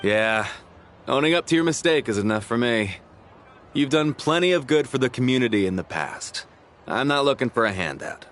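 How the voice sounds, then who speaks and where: A man's voice: medium pitch, lively intonation, moderate pace, fairly bright tone, lightly acted. A man playing a proud, forgiving townsperson in a video game, with a plain-spoken, emotive delivery. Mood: forgiving, earnest.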